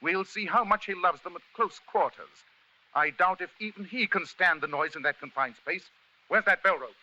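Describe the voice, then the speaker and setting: An actor playing a shrewd, commanding English gentleman detective, crisp and theatrical, with a British accent in an old radio mystery. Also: sits high, normal speed, slight background noise. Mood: urgent. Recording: muffled old radio broadcast, music underneath.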